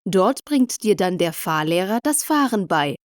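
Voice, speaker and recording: A woman narrates a short, simple story for language learners. Her voice sits at a middle pitch and every word is carefully formed. The sound is dry, with no room around it. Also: very animated, measured pace, no room sound.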